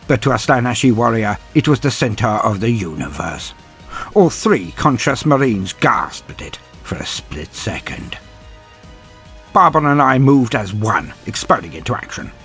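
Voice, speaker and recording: A man's voice: medium pitch, husky. A man playing a grim, proud, dryly sardonic inquisitor, narrating a battle in a theatrical, brooding way as a first-person audio drama. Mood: intense, wry. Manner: theatrical, brooding, with weighty pauses.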